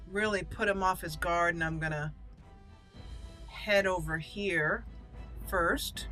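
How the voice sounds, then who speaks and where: A woman gamer talks her way through a let's play, thinking aloud. Her voice is bright and forward at a middle pitch. Soft game music sits behind her. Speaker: gamer host, role-player.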